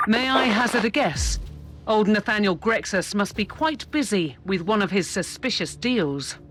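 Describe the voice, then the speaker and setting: Game role: measured, wry aide to an inquisitor female actor, mid register, some music underneath. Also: mid-range voice, expressive, fairly bright tone, close to an everyday voice. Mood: composed, informative. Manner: measured, formal, dryly wry. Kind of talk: video game cutscene dialogue.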